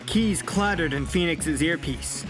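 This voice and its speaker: A man's voice: a little high, measured pace, over loud music. A man reading scripted narration as the narrator of an audio drama.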